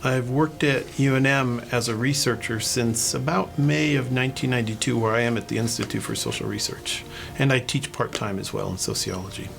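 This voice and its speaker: A man's voice: mid-range voice, fairly even intonation, fairly quick, vocal fry, fairly bright tone, faint music behind. A man in his fifties introducing himself plainly and steadily. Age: 50s. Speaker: interviewee, researcher.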